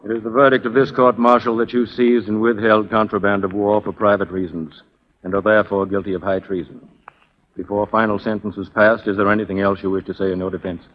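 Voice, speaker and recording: An impassive army judge played by a male actor in a vintage radio play. He reads formally in a low, very even voice, on a muffled 1940s recording. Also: close to an everyday voice. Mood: solemn, official. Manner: formal, measured diction. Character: formal, impassive military court-martial judge.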